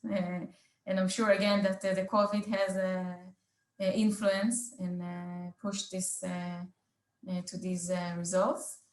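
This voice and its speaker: Halting, slow-paced woman hosting a roundtable. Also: on the low side, little pitch movement, reverberant. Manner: halting, hesitant delivery with very frequent filled pauses. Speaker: roundtable host. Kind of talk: unscripted business presentation in a panel discussion.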